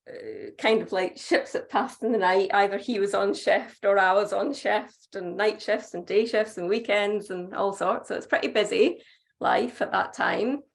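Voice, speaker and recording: Scottish woman, 30s, gravelly edge, chatty guest on a video call. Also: medium pitch. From Scotland. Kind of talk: casual chat on a video call.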